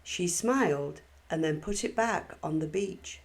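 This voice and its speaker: A woman narrating a children's story expressively, with measured, deliberate phrasing. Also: lowish voice, gravelly, slightly rough. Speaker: storybook reader.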